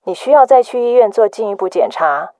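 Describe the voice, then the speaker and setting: An actress playing a concerned, gentle younger woman urging care in a radio drama. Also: mid-range voice, lively intonation, brisk, no room sound, close to an everyday voice, poor audio. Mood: gently advising. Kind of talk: Mandarin radio drama acting.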